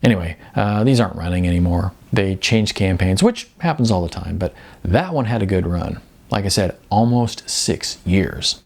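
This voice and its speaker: A man's voice: deep voice, moderate pace, vocal fry, darkish tone. A man voicing a good-natured, self-deprecating model in his forties, hesitant and full of ums, in an audiobook. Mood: wistful, modest. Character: modest, good-natured balding 40-something male commercial model. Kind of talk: audiobook character dialogue.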